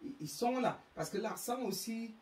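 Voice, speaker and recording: A woman's voice: on the high side, lively intonation, darkish tone, roomy sound, far from the mic, a little hiss or hum. A woman with an African French accent hosting her own channel, delivering an emphatic, moralizing monologue. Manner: slow, emphatic, preachy. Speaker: YouTube channel host.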